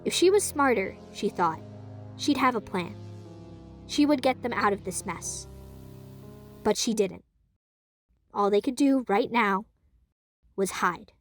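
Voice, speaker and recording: A woman narrating an audio drama in an even, storytelling manner. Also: mid-range voice, takes it slowly, long pauses, soft background music.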